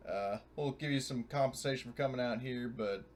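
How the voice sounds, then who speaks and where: A man's voice: mid-range voice, a little fast. Rambling, sweary man at a game. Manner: rambling, sweary, thinking aloud. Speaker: tabletop game player. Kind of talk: casual game chat.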